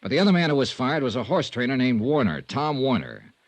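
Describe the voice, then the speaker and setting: An actor playing a self-assured insurance investigator in a 1950s radio detective drama, speaking in a clipped, dry, sardonic way. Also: male voice, mid-range voice, big pitch swings, fairly quick, dry recording. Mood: pressing, impatient. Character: hard-boiled wry male insurance investigator, self-assured. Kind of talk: 1950s radio detective drama acting and first-person narration.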